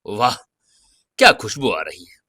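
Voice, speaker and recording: A vain young hero from a romantic audio drama, acted out by a man, easily flustered and given to dramatic exclamation. His voice is bright and sharp, recorded close and dry. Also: on the low side, big pitch swings, unhurried, no room sound, voice pushed down, exaggerated voice. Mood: entranced.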